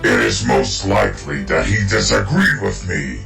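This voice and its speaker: A man's voice: sits low, wildly swooping pitch, moderate pace, creaky, sharp tone, heavily acted. A man voicing a brooding, menacing masked villain creature in a grave, formal manner for a comic dub. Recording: roomy echo, music underneath. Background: background music.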